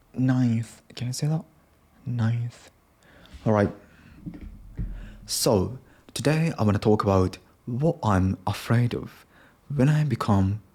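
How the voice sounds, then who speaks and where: A young man with a Japanese accent, speaking English as a learner in an unscripted solo podcast. He hesitates, restarts often and fills pauses with "um". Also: medium pitch, darkish tone. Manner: hesitant, many ums and restarts. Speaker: podcast host.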